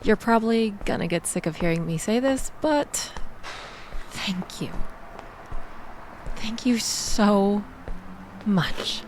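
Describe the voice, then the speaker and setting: Shaken young woman, played by a woman for audio roleplay: self-deprecating, grateful, breathy sighs, mid-pitched, taking her time. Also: lively intonation, slow, pauses often, a little hiss or hum. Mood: rattled, wry. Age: young adult. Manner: rambling, breathy sighs, wry asides. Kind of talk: intimate audio roleplay acting addressed to the listener.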